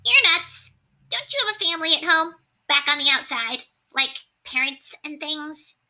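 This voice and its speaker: A woman's voice: sits high, moderate pace, pushed and bright, pitched above the performer's own voice, low-quality recording. A woman voicing a brash, wisecracking male rat in a storybook, with folksy, streetwise phrasing. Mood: incredulous.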